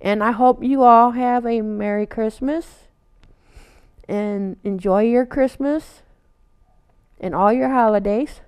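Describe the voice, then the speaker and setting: A woman reading a children's picture book aloud, adding casual asides in a gentle, unhurried read-aloud manner. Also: on the high side, creaky, clear, resonant tone. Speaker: storytime reader.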